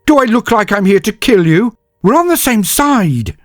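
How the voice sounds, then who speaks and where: A man voicing a loud, resolute woman officer. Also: fairly high pitch, lively intonation, normal speed, husky, no echo, studio quality, pitched above the performer's own voice. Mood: urgent. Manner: urgent, shouting over battle. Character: determined female ship's officer, resolute.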